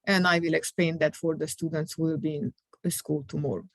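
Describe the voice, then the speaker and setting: Female ESL teacher, middle-aged, slow and patient, clear simple phrasing, close dry sound. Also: low voice, steady tone, dry recording. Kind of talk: classroom instruction recorded for students.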